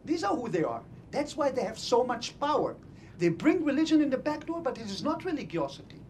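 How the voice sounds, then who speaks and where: A middle-aged man with an Israeli accent argues his view emphatically into a handheld mic in a conference room. He sounds opinionated and unscripted, with a faint rumble behind him. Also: big pitch swings, brisk, darkish tone. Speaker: audience member and scholar commenting at a panel. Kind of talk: impromptu argumentative comment at an academic discussion. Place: conference room full of seated audience. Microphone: handheld microphone.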